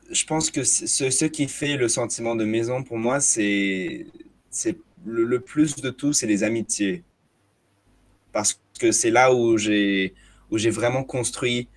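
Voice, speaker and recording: A man's voice: medium pitch, slow. A young man with a slight, hard-to-place foreign accent, talking as a guest in a casual discussion and often restarting his sentences. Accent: slight hard-to-place foreign accent in French. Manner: hesitant, many restarts and repeated words.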